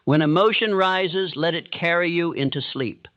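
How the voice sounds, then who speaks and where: A man giving a calm, steady, measured narration in the solemn manner of a motivational sleep audiobook. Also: on the high side, clean tone, dry recording, highs cut off.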